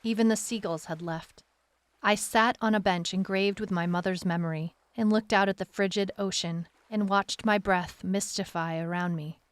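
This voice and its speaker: A woman's voice: medium pitch, fairly even intonation, moderate pace, clean tone, dry recording, natural voice. A woman playing a determined detective who narrates her own case in a scripted audio drama. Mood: brooding, reflective. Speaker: lead narrator.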